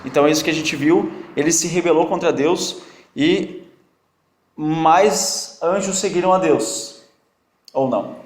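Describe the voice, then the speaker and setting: A male Bible teacher speaks with a strong foreign accent, his voice sitting fairly high. He keeps the class involved with questions and light teasing. The sound bounces around an echoey church room. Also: a little slow. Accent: strong non-native accent in Portuguese. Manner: jokey, quizzes and teases students.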